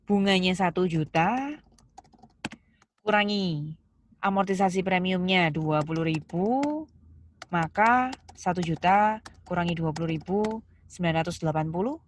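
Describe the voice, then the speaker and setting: Casting: female lecturer, slightly low voice, explains step by step with guiding questions, recorded over audible noise and keyboard clicks. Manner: didactic, asks questions and answers them, stresses key terms. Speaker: accounting lecturer.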